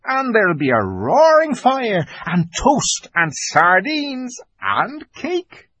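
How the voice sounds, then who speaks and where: A man's voice: high voice, huge pitch swings, slow, pushed and bright, breathy edge, no room sound, pitched above the performer's own voice, exaggerated voice. A man voicing a polite, fussy, eager fawn creature in a storybook, with formal, flustered courtesy. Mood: eager, inviting.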